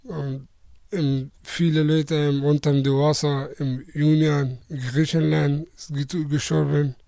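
Man in his twenties from Afghanistan, interviewee; slow, halting, many restarts; slightly high voice. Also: flat delivery, vocal fry, warm tone, no echo. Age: 20s.

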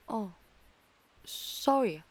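A woman's voice: on the high side, animated, drawn-out words, exaggerated voice. An actress playing a curious, polite but doubtful young girl in a radio drama, with a Hong Kong accent. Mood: sympathetic.

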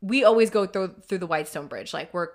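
A woman's voice: fairly low pitch, quick pace. A woman podcast co-host, chatting casually about history with colloquial, opinionated asides. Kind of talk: casual conversational history chat.

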